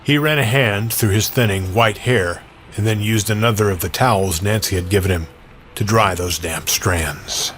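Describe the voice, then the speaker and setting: A man's voice: fairly low pitch, normal speed, a bit bright, a little hiss or hum. A man narrating a scripted first-person audio drama as its storyteller. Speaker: narrator and performer. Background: original music score.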